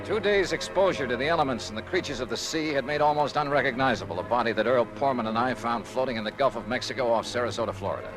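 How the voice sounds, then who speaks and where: An actor playing a brisk, sharp American insurance investigator in a hard-boiled old radio detective drama. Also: male voice, mid-range voice, close to an everyday voice, low-quality recording. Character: brisk, sharp-eyed male freelance insurance investigator hero. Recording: vintage radio drama recording.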